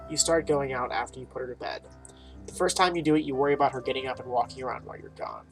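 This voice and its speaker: A man's voice: mid-range voice, steady tone, quick pace, creaky, low-quality recording. Casual male gamer over faint music. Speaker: gaming video host. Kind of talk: casual let's play, reading game text and reacting. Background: game music.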